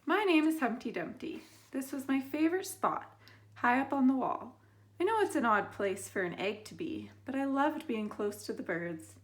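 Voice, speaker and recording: Lady teacher doing a kids story time read aloud, expressive, puts in dramatic pauses. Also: sits high. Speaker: teacher reading a children's book aloud.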